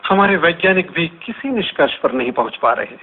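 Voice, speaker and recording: An actor playing an anguished, frightened man lamenting in a theatrical, halting radio drama style. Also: a little high, big pitch swings, breathy edge. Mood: anguished, fearful. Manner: slow, dramatic, halting delivery. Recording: tinny, band-limited, noisy old radio recording.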